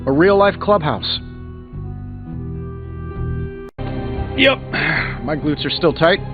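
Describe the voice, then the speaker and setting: A smug wisecracking superhero inventor guy, breezy n sarcastic, game audio bit dull w/ loud music. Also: a little high. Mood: teasing. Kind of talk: video game voice acting.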